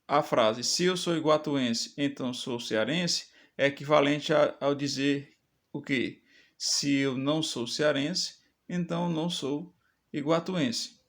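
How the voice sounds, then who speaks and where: A man teaching a step-by-step math lesson for public exams, often checking in with "tá certo?". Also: on the high side. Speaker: math teacher for exam prep.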